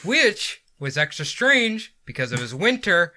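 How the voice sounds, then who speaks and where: A man's voice: high-pitched, very animated, a little slow, pushed and bright. A man hosting a casual podcast, telling a paranormal story from notes with frequent laughs and jokey asides. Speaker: podcast host.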